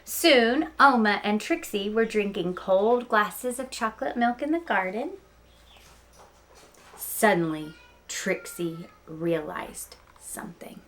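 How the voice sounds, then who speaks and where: A woman reading a picture book aloud to young listeners, expressive and speaking to them directly with small asides. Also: medium pitch, slow pace, slight background noise. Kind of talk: children's read-aloud storytelling with asides.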